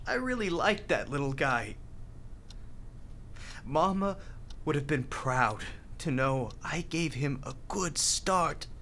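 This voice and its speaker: An actor in a radio drama playing a grieving, bitter young hospital volunteer, tough but tender, speaking bluntly and haltingly. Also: male voice, fairly high pitch, animated, a bit bright, slight background noise. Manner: halting, emotional, blunt street talk.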